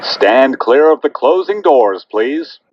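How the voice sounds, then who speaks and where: A man's voice: mid-range voice, lively intonation, takes it slowly, dull audio, slight background noise. A man giving formal, instructing pre-recorded announcements on a subway train.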